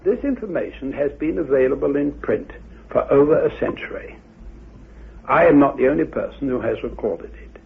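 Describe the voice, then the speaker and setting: A man with a gravelly, husky voice giving formal, deliberate expert commentary on a dull old radio recording. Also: mid-range voice, expressive, a little hiss or hum. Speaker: commentator, researcher.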